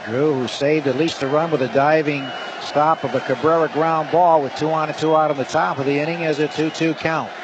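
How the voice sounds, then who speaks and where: A man with a fairly high voice calls a baseball game live for radio. He speaks in short, descriptive play-by-play phrases, with clear ballpark noise behind him. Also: fairly even intonation, brisk, few pauses, lo-fi sound. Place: ballpark broadcast booth. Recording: noisy live stadium broadcast. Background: clear stadium ambience.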